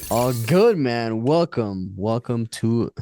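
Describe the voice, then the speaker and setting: A man's voice: mid-range voice, expressive, slow, warm tone, no room sound, soft background music. A man in his late twenties hosting a casual interview, talking in informal, buddy-like slang. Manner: informal, bro-style slang. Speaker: podcast host, interviewer. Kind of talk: casual chat.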